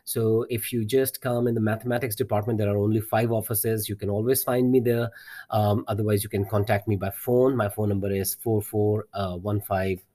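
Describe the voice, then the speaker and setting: A man's voice: fairly low pitch, steady tone, moderate pace, warm tone. A middle-aged man whose first language is Urdu, a math teacher telling a personal story as an interview guest, earnest and encouraging, often repeating words as he searches.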